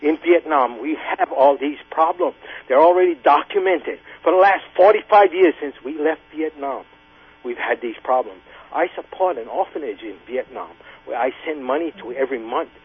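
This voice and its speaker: A man's voice: on the high side, very animated, normal speed, husky. A man in his late sixties calling in to a radio show, arguing angrily and insistently, with emphatic repetition. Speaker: radio call-in caller, Vietnam-era veteran. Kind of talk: impassioned call-in argument. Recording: tinny, band-limited radio phone line.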